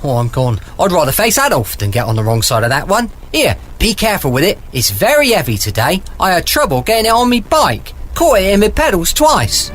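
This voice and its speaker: A man's voice: sits high, sing-song, a little fast, few pauses, fairly bright tone. Radio comedy: male actor as hapless English postman suitor, sheepish, pleading, never giving up. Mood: flustered. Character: eager flat-footed village postman, hopeful hapless suitor. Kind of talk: 1940s-set radio comedy acting.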